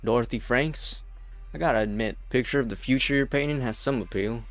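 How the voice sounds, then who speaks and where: An actor playing a calm, hard-bitten, defiant man with folksy phrasing in an amateur game fan dub. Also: mid-range voice, a little fast, soft background music, lightly acted. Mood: cold, defiant.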